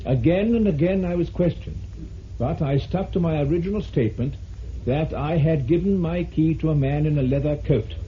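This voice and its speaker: An actor playing a formal male barrister in a vintage radio drama, speaking in measured oratory. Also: a little high, close to an everyday voice. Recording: muffled, noisy vintage radio recording.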